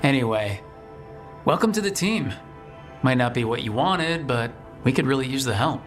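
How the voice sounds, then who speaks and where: An actor playing a friendly, level-headed team leader in a video game, speaking in a measured, conversational way. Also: male voice, medium pitch, expressive, soft background music, natural voice. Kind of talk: video game cutscene acting.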